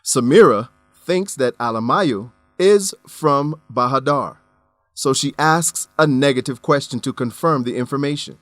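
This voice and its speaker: A man reading scripted English-lesson narration as a teacher, deliberate, instructional and carefully enunciated. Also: mid-range voice, a bit bright, no room sound, faint music behind.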